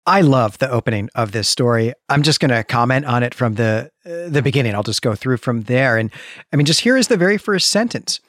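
A man's voice: medium pitch, animated, fairly quick, fairly bright tone, dry recording, clean studio sound. A man co-hosting a podcast, talking about fiction in an enthusiastic, emphatic, conversational way. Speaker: podcast co-host. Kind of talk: conversational literary podcast discussion.

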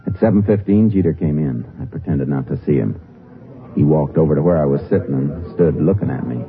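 An American actor playing a surly, guarded jailbird in a 1950s radio drama, talking in a gruff, curt way. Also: male voice, a bass voice, expressive, fairly quick, a little hiss or hum. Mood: measured narrating. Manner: gruff, curt, distrustful drawling talk. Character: undercover Texas Ranger posing as surly, guarded male jailbird. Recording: tinny 1950s radio recording, faint music.

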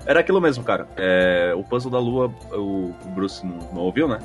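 A man's voice: on the low side, lively intonation, fairly quick, faint music behind. A man with a Brazilian accent chatting casually as a podcast co-host, explaining things in a colloquial way. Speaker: podcast co-host and game master. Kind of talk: casual chat answering listener feedback.

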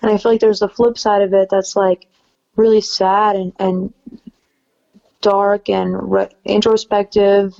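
Soft raspy-voiced woman, mellow and chatty. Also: medium pitch, unhurried, muffled recording. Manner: relaxed, chatty, many fillers. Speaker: singer-songwriter guest. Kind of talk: casual interview chat.

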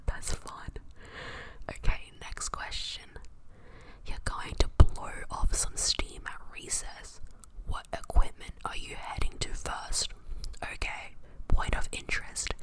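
A woman whispering into a close mic in a casual ASMR chat, softly repeating words and a little out of breath. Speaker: podcast host. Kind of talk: whispered ASMR chat doing online quizzes.

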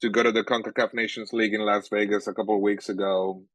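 Venezuelan guy, young adult, native Spanish speaker, low-ish voice, dry close mic, halting and filler-heavy. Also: flat delivery, fairly bright tone, no room sound. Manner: halting, many fillers and restarts. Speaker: sports journalist guest. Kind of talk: casual interview answers.

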